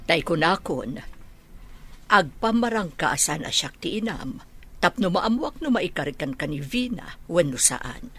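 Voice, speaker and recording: A woman playing a scheming, teasing mother in an Ilocano comedy radio drama, speaking with a husky, creaky, emphatic delivery. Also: fairly low pitch, very animated, a little slow, a bit bright, soft background music, heavily acted. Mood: insistent. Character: scheming, teasing mother posing as house caretaker.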